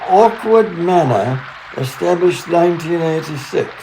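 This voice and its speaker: A man playing a mild-mannered, wordy and deadpan travelling companion in a comedy radio play. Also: a little high, a little slow, background noise.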